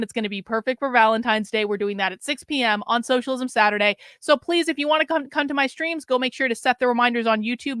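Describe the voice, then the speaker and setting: Brief: chatty middle-aged woman streamer, a bit hoarse, smooth higher voice, dry close sound. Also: fairly bright tone, no echo. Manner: chatty, rambling, wry asides to the audience. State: losing her voice. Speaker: livestream host. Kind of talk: casual livestream chat.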